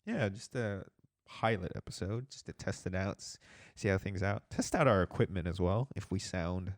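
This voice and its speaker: A young man, co-hosting a casual podcast chat, playful and quick to laugh. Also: low-pitched, dry recording, clean studio sound. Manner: laughs often, playful and upbeat. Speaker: podcast co-host.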